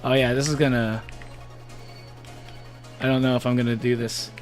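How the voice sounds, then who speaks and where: A man's voice: medium pitch, flat delivery, normal speed, soft background music. A man streaming a game live, chatting casually with his viewers, rambling with lots of fillers and frequent laughter. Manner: rambling, laughs often, many restarts and fillers. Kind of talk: casual live-stream chat while gaming.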